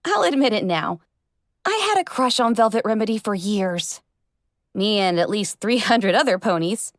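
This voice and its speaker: A woman reading an audiobook as narrator, giving expressive first-person story narration. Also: mid-range voice, moderate pace, dry recording, clean studio sound.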